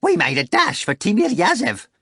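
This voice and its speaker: A man's voice: high voice, huge pitch swings, moderate pace, fairly bright tone, breathy edge, no room sound, clean studio sound, pitched above the performer's own voice, full character voice. A man doing a fawning, dramatic sidekick. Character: sly, dramatic male sidekick, flustered and servile.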